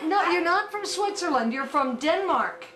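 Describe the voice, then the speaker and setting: A woman's voice: very high voice, animated, normal speed. A middle-aged woman playing host at home, asking teasing, good-humored questions on a home video. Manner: playful, teasing questions with laughter. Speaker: host mother interviewing exchange students. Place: home, indoors. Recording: echoey camcorder sound from far off, loud music behind.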